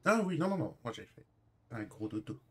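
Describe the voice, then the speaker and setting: A man's voice: mid-range voice, big pitch swings, a little fast, a bit bright, breathy edge. A man chatting casually in an online roleplay, in short hesitant sentences that trail off. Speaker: streamer playing a character in online roleplay. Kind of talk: casual in-character roleplay chat.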